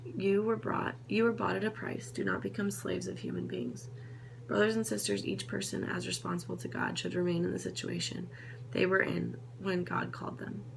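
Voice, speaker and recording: A woman reading Bible passages aloud in a steady, unhurried way, now and then correcting a slip. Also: mid-range voice, vocal fry, a little hiss or hum, rough recording. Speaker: Bible reader.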